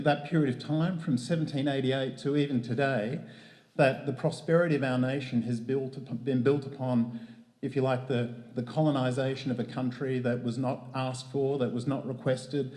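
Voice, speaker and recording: A man's voice: mid-range voice, dark tone. An Australian man hosting a community event, speaking with many hesitations and restarts. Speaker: event host. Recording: echoey hall.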